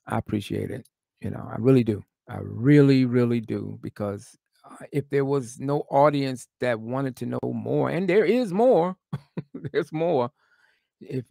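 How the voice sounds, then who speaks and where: Hoarse man with a gravelly voice. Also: mid-range voice, darkish tone, no room sound, clean studio sound. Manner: rambling, many fillers. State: hoarse, losing his voice. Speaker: guest speaker. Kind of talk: casual live-stream chat.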